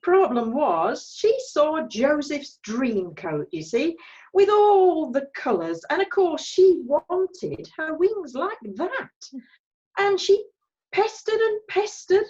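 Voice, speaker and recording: A woman's voice: high voice, sing-song, slow pace, darkish tone, dull audio, full character voice. A woman reading the part of a gossipy, chatty mum in an amateur online play reading.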